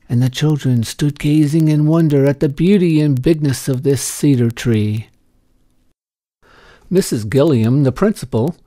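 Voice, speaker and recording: A man reading a short story as scripted narration, in a gentle, measured storytelling manner. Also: on the high side, no room sound. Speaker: story reader.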